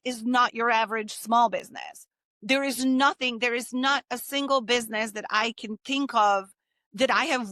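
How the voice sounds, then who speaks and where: A woman's voice: on the high side, pushed and bright, no echo. A middle-aged woman co-hosting a podcast, talking casually in a blunt, skeptical way. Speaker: podcast co-host, marketer.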